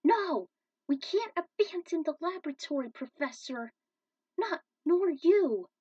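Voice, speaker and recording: A woman's voice: very high-pitched, animated, unhurried, a little mellow, no echo, pitched above the performer's own voice. A woman voicing an urgent, emphatic young heroine who refuses to give up, in a fan fiction reading. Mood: defiant alarm. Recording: dull, distant microphone.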